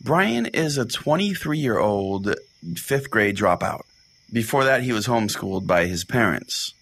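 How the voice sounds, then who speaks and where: Theatrical man with lively, swooping pitch. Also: measured pace, creaky, husky. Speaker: podcast host. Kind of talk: comic dramatic storytelling and show chat. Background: music.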